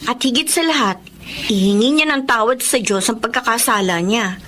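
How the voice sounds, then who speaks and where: An actress playing a pious, kindly young Filipino daughter in a radio drama. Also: female voice, on the high side, normal speed, fairly bright tone, slight background noise, close to an everyday voice. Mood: earnest, friendly.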